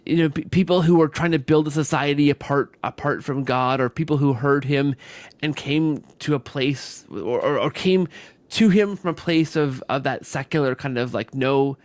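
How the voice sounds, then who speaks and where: A man's voice: fairly high pitch, moderate pace, no echo. A man hosting a conversational podcast interview, talking earnestly in rambling sentences and repeating phrases as he goes. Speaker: podcast host.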